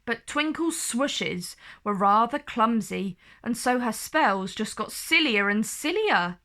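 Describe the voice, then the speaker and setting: Female children's storyteller: slow, careful read-aloud pacing, highly animated, theatrical.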